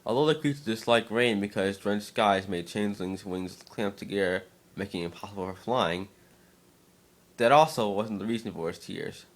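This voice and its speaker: A man narrating fan fiction as a dramatic reading, slightly halting and stumbling over words now and then. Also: on the low side.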